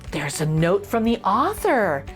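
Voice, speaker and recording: A woman's voice: mid-range voice, sing-song, slow, soft background music. A woman reading a children's picture book aloud expressively, with little asides to young listeners. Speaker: children's story reader and host.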